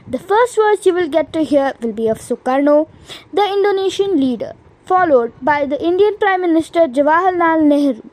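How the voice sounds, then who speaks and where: A high-pitched woman acting as a radio news presenter. The announcer reads scripted bulletins in a formal way, addressing listeners as in a broadcast. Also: darkish tone.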